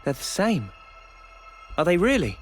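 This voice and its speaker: A man's voice: high voice, huge pitch swings, measured pace, heavily acted. An actor playing an easygoing, reassuring young male soldier in a video game. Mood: earnest. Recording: music behind.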